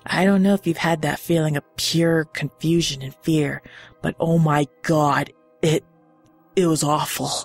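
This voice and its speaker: A woman giving a dramatic, suspenseful first-person reading of a horror story, pausing deliberately for emphasis. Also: low-pitched, fairly even intonation, slightly rough. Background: background music.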